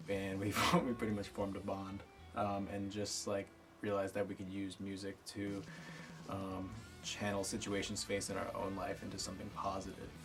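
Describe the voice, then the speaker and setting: Laid-back young man, slightly low voice. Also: far from the mic. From Connecticut, US. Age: 20s. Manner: laid-back and hesitant, many "um"s. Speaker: band musician. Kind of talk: casual documentary interview.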